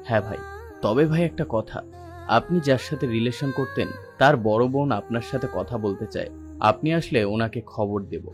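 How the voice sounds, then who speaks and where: A man's voice: mid-range voice, moderate pace, natural voice. A man playing a deferential, beseeching gang henchman in an audio story. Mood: respectful. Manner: respectful, beseeching. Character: deferential, pleading young male gang henchman. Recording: music clearly behind the voice.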